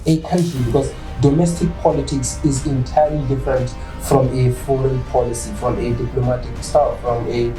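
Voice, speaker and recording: A man's voice: medium pitch, flat delivery. Nigerian man hosting an interview. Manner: formal, measured questioning with frequent hums. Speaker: host. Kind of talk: radio-style interview. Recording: echoey room with music behind.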